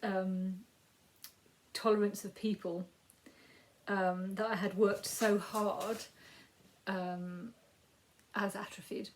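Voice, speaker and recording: A woman in her fifties with a Yorkshire accent and a croaky voice, giving a rambling, casual crafting update with frequent ums. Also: medium pitch, level tone, takes it slowly, long pauses, poor audio. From Yorkshire, England. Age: 50s. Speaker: crafting podcast host.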